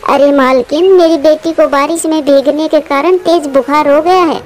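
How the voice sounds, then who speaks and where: A woman's voice: high voice, fairly even intonation, moderate pace, darkish tone, slight background noise, natural voice. A woman voicing a worried, loving mother bird in a cartoon, pleading and tender.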